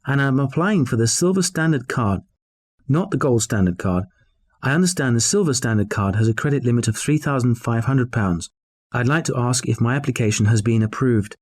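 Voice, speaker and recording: An actor playing a polite man leaving a phone message, spelling out names and numbers slowly and carefully. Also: mid-range voice, animated, lightly acted. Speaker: caller leaving a voicemail. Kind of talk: scripted listening-test dialogue.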